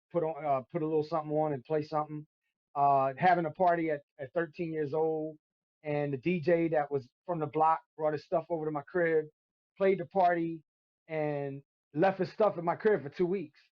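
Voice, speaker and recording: Animated New man in his fifties. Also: a little high, dull audio. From Brooklyn, New York. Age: 50s. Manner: animated storyteller, street slang. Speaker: guest DJ. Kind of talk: casual podcast chat.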